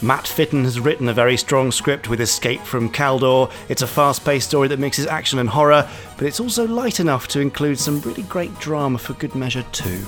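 Man, co-host, dry wit, mid pitch, music playing under. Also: animated, brisk, hardly any pauses, fairly bright tone. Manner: dry, self-deprecating humour. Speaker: podcast co-host. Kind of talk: casual studio chat.

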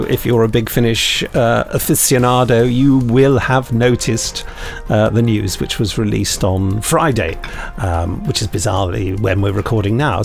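Guy podcast host, bit gravelly, rambles and jokes, soft music under him. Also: lowish voice. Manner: jokey, rambling, digressive. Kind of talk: casual podcast chat and review reading.

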